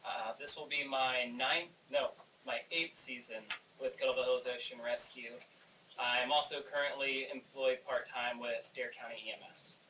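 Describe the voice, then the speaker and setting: A man's voice: medium pitch, steady tone, normal speed, bright, forceful tone, reverberant. A man from coastal North Carolina, a lifeguard, briefly introducing himself at a public meeting. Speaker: ocean rescue lifeguard. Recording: distant mic, faint room noise.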